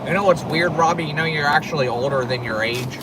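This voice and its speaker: A man's voice: a little high, quick pace. A man in his early thirties chatting casually on a live stream, joking and laughing often as he reads comments. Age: 30s. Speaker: co-host reading live chat. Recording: outdoor live stream, noisy, mic at a distance.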